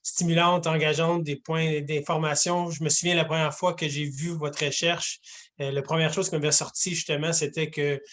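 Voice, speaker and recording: Chatty moderator on a video call. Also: male voice, a little high, level tone, moderate pace. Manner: warm, casual, chatty with fillers. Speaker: panel moderator. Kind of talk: hosting a virtual panel.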